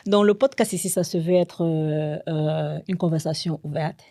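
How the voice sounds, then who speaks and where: A woman's voice: low voice, moderate pace, mellow, no echo, studio quality. A woman hosting a podcast, putting her guest at ease in a friendly, reassuring way. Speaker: podcast host. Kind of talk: casual interview.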